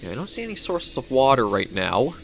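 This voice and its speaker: A man's voice: mid-range voice, sing-song, fairly quick, creaky, a bit bright, a little hiss or hum. A man with a Canadian accent who is coming down with a cold, giving casual, rambling gameplay commentary as he thinks aloud. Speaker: gaming video host.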